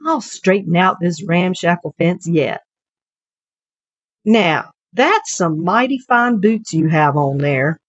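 A woman's voice: lowish voice, lively intonation, slow pace, no echo, lo-fi sound. A woman voicing a kindly, folksy old henkeeper with rural turns of phrase in a short story reading. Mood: friendly, wry.

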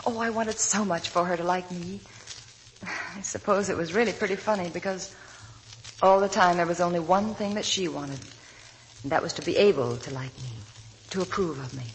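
An actress playing an earnest, shy young working woman, tender and wistful, speaking softly in a 1940s radio drama. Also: on the low side, moderate pace, pauses often, a little hiss or hum, natural voice, low-quality recording. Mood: wistful, reminiscing. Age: young adult. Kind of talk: 1940s radio drama acting, dialogue and narration. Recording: vintage 1940s radio broadcast, music behind.

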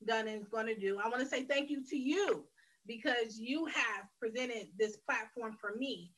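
A woman's voice: fairly high pitch, moderate pace, a bit bright, rough recording. A woman, a guest on a faith interview, telling her life story earnestly and candidly, often restarting her phrases. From Detroit, Michigan, USA. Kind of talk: personal testimony in a faith interview.

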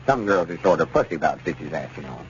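An actor playing a self-important, easily exasperated old country storekeeper with a rural Arkansas accent, drawling and folksy in 1930s radio comedy style. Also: male voice, very deep voice, sing-song, quick pace. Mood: explaining. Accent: Southern US, rural Arkansas. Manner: folksy, know-it-all, drawling. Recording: dull, noisy 1930s radio recording.

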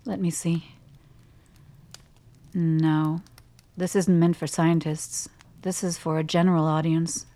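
Low-voiced, exacting woman scientist. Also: flat delivery, takes it slowly, long pauses, dark tone. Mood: cool, probing. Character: cool, exacting female scientist and schemer. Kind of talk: radio drama dialogue.

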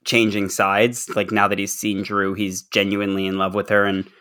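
A man's voice: lowish voice, little pitch movement, normal speed, bright, forceful tone. A man co-hosting a podcast, talking casually through a recap. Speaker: podcast co-host.

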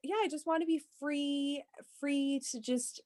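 Woman playing a brazen, callous wife, highish voice. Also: fairly even intonation, unhurried, a little mellow. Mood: brazen, pleading. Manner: blunt, rambling, breezily callous. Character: brazen, self-absorbed wife eager to be rid of husband. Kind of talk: improvised comedy scene.

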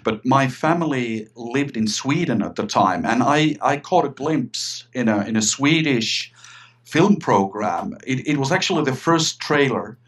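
A man's voice: medium pitch, expressive, a little slow, fairly bright tone. A middle-aged man from Finland with a Finnish accent, talking eagerly as an interview guest and often repeating words as he restarts. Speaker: guest, writer and filmmaker. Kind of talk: casual interview chat.

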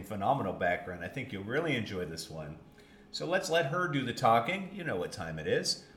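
Male podcast host, folksy and conversational, lively pitch swings, echoey room. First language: English. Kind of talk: conversational podcast introduction and interview. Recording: roomy echo with background noise.

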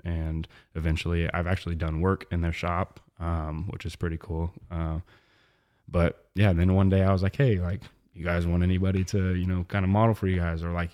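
A young man from Missouri, a guest on a faith podcast, talking in a relaxed, rambling way with lots of fillers and restarts. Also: low voice. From southwest Missouri. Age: young adult. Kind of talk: casual faith podcast interview.

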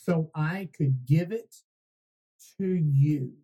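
A man voicing a small, polite, generous young duckling in a storybook read-aloud, speaking simply and in a measured way. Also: fairly high pitch, warm tone, husky, full character voice, low-quality recording. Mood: sweet, polite.

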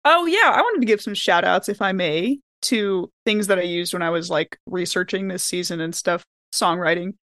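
A woman's voice: fairly low pitch, moderate pace, few pauses, a bit bright, dry recording, clean studio sound. A woman chatting casually and enthusiastically as a cast member on a round-table podcast.

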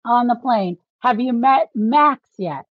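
A woman's voice: fairly high pitch, animated, takes it slowly, dry recording. A woman co-hosting a podcast in a casual book discussion, explaining things like an enthusiastic fan. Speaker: podcast co-host. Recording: slightly dull, dry recording.

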